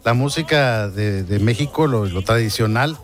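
A man's voice: medium pitch, expressive, normal speed, soft background music. A man hosting a morning radio show, chatting casually and laughing easily with his guests. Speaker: radio host.

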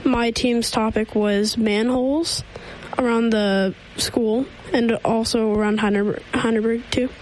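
A woman's voice: on the high side, steady tone, unhurried, darkish tone, slight background noise, low-quality recording. A teenage girl answering interview questions on the radio, hesitant with many ums. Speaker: student guest.

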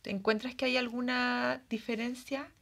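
Female radio interviewer, slightly high voice, encouraging and reactive. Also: little pitch movement. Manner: warm interviewer, quick affirmations and bright reactions. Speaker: radio show host. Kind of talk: interviewing a guest.

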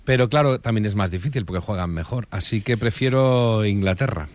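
A man's voice: on the low side, brisk. A man from talking casually as a regular on a radio discussion.

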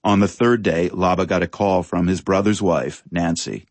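A man presenting a news feature in scripted, measured narration. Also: deep voice, fairly even intonation, dry recording.

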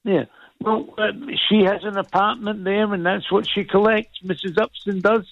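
Older Scottish man, earnest and halting. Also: sits high, steady tone, vocal fry, no echo, dull audio. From Scotland. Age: 70s. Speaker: caller. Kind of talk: talk radio call.

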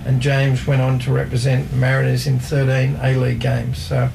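Male co-host, gravelly texture, hesitant delivery full of fillers, noisy background. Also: medium pitch, completely flat delivery, moderate pace. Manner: hesitant, many ums, reads off notes. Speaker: co-host presenting football trivia. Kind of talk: casual sports chat.

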